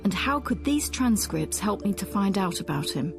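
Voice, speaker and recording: A woman presenting a documentary in measured, articulate scripted narration. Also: medium pitch, sing-song, vocal fry, over music.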